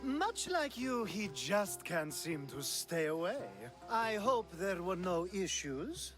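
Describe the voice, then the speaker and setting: A man's voice: high voice, very animated, slow, music in the background, exaggerated voice. An actor playing a sly, charming ancient Greek nobleman in a video game, teasing and theatrical. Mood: smug. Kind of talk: video game cutscene acting.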